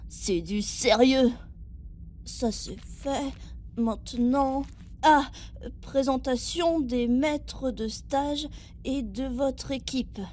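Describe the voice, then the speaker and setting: A woman's voice: sits high, extremely slow, a little mellow, a little hiss or hum. A woman playing a naive, eager seven-year-old child intern who explains things simply in an audio drama. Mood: earnest, explaining.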